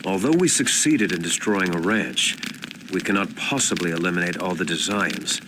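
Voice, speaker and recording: A man voicing a stoic, pragmatic warrior in a fantasy video game, speaking gravely and formally. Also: deep voice, expressive, normal speed. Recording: noisy game ambience behind voice.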